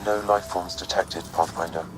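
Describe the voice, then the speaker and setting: An actor playing a calm, precise male artificial intelligence in a video game, speaking in a measured, formal, unemotional way. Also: sits low, heavy background noise, natural voice. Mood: neutral. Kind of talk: video game cutscene acting.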